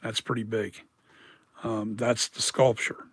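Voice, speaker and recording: A man with a dry, hoarse voice, talking casually on a live stream about mask making, rambling and correcting himself as he explains. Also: lowish voice, level tone, slow pace, no room sound.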